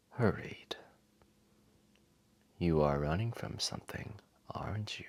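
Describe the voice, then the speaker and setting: A man voicing an ancient, wise and weary dragon, telling a story intimately and grandly in a deep, breathy, gravelly voice with dramatic pauses. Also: a bit bright, heavily acted. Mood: gentle, amused. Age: old. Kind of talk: slow intimate storytelling audio roleplay.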